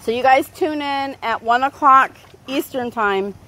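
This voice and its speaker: A woman hosting a pet vlog, chatting casually and playfully to animals and viewers, with sing-song exclamations and drawn-out words. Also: high voice, pushed and bright, slight background noise, poor audio. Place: outdoors in a backyard by a pool.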